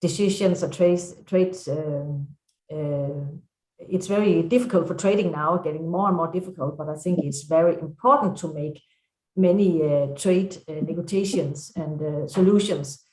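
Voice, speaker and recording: A woman with a Danish accent speaks on a panel in a fairly low voice, the room echoing around her. She talks off the cuff and haltingly, often searching for the next word as a native Danish speaker. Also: darkish tone. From Denmark. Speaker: farmers' council vice president, panel speaker. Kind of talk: unscripted panel remarks. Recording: echoey room.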